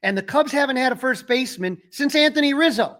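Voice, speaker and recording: A man's voice: very high voice, animated, quick pace, a bit bright. A man co-hosting a sports podcast, giving casual but emphatic, opinionated analysis.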